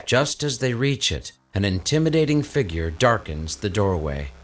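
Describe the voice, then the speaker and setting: A man narrates a supernatural audio drama from a script. His voice is on the low side, and soft music plays faintly behind it. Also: animated, moderate pace.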